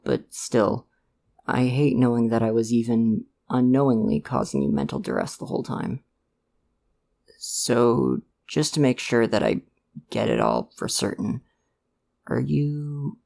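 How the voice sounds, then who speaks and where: A man playing a supportive, gently protective dad in an ASMR roleplay, speaking in a hesitant, earnest, reassuring way to the listener. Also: medium pitch, gravelly. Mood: earnest, apologetic, then careful, curious. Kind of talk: ASMR roleplay, one-sided conversation with listener.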